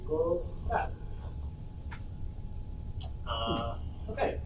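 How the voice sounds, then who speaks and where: A man's voice: on the high side, very animated, very slow. A man giving an informal live workshop tutorial, thinking aloud as he works through the steps on a computer. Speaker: workshop presenter. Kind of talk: live software tutorial, explaining while typing. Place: convention panel room. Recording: distant roomy mic with clear background noise.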